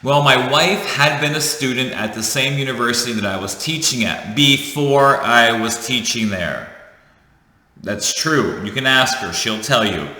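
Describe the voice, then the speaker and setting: Chatty man with a bright, echoey voice. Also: mid-range voice, a little slow. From United States. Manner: chatty, jokey, self-correcting. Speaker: vlogger. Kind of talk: casual storytelling to camera. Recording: echoey room with long reverb.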